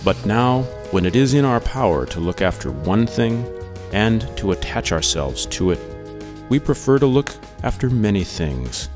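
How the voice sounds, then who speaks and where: A man with a fairly low voice reads philosophy aloud. His delivery is deliberate and measured, in the style of a radio monologue. Music plays clearly behind him. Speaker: audiobook reader. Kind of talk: scripted dramatic reading of philosophy over music.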